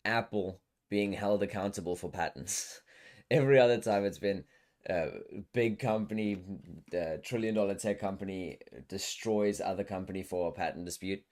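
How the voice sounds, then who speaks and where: The low-pitched guy running a laid-back podcast among friends late in the evening. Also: normal speed, a little mellow. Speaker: podcast host. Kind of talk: casual chat.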